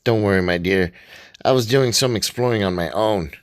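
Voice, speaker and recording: A man's voice: on the low side, moderate pace, dry recording, clean studio sound, voice pushed up, natural voice. A man voicing a confident young football star in a radio drama, suave and self-assured. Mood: smooth.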